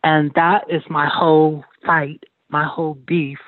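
A woman's voice: low-pitched, little pitch movement, measured pace, no echo. A woman from Sudan, speaking bluntly and at length as a guest in a candid personal interview. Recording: tinny dry phone line.

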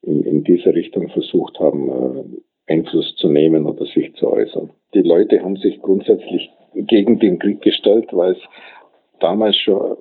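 Deep-voiced man on a phone-like line. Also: fairly even intonation. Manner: halting, repeats phrases searching for words. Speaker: interviewee. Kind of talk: spontaneous reflective interview talk.

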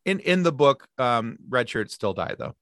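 A man guesting on a podcast, telling stories in a lively chatty way with quick asides and restarts. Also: medium pitch, no echo, studio quality. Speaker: podcast guest, sci-fi podcast co-host. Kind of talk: casual interview chat.

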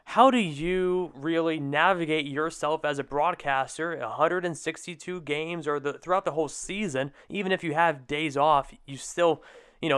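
Clear, higher-voiced young man hosting a podcast. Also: a bit bright. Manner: long, meandering questions. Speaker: podcast host. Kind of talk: conversational sports interview.

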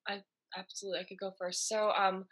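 A woman speaking as a guest in a relaxed conversation, earnest and quick to laugh. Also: mid-range voice, husky. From United States. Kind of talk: casual conversation.

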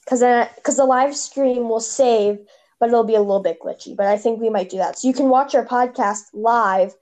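A woman's voice: on the high side, normal speed, a little mellow. A woman hosting a casual podcast over a phone, chatty and rambling, with a slight lisp. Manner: chatty, rambling, restarts often. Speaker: podcast host.

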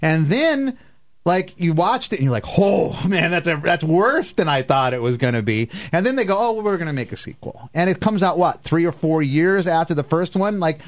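A man co-hosting a radio show, chatting casually about pop culture with animated, joking exasperation. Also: fairly high pitch, moderate pace.

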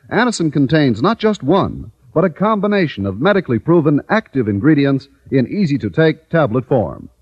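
A man's voice: a little high, breathy edge, dry recording. A man working as a 1950s radio announcer, reading scripted advertising and narration in an emphatic, formal manner. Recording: dull, lo-fi old radio recording.

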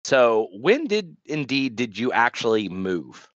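A man's voice: medium pitch, big pitch swings, slow, fairly bright tone, dry recording, lo-fi sound. A man in his thirties co-hosting a podcast, rambling and joking with many restarts in casual chat. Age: 30s. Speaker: podcast co-host.

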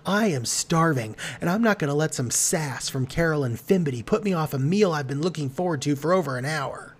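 A brash woman character performed by a man in an audiobook. The voice is pushed higher and louder than plain narration, with blunt, exclamatory complaining. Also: expressive, brisk, breathy edge. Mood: eager, defiant.

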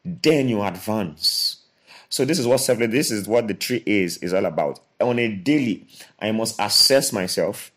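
A man co-hosting a motivational podcast, earnest and repetitive, often restarting his phrases. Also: on the low side. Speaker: co-host.

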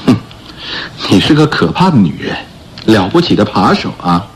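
Arsène Lupin, animated gentleman thief. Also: fairly low pitch, normal speed, background noise. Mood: sardonic, probing. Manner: theatrical, scoffing, interrogating with exclamations. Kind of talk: radio drama acting.

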